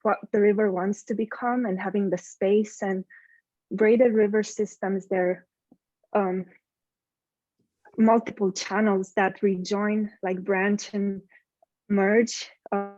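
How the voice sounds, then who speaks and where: A woman sharing a memory in a group call, hesitant and measured, searching for words. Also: medium pitch, level tone, darkish tone, dry recording, dull audio. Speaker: workshop participant.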